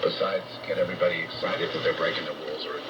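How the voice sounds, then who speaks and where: Noisy shortwave broadcast, male American preacher, low voice, unscripted confrontational rant, halting, repetitive. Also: level tone, lo-fi sound. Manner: blunt, confrontational, profane. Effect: shortwave radio. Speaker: radio preacher. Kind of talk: unscripted ranting sermon.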